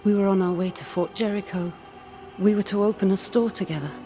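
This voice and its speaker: A woman's voice: medium pitch, steady tone, fairly quick, warm tone, soft background music, close to an everyday voice. An actress playing the wistful, lovelorn ghost of a woman in a dramatic video game. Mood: longing, sorrowful.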